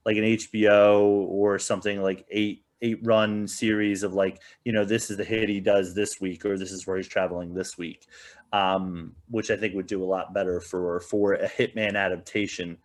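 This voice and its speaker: A man's voice: sits low, little pitch movement, normal speed. A man giving casual opinions as a podcast panelist, in a rambling, hedging way. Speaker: podcast panelist reviewing films.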